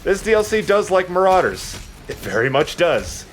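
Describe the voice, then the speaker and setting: A man's voice: high voice, sing-song, normal speed, faint music behind. A man doing casual, joking gameplay commentary in a conversational way. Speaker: let's play commentator.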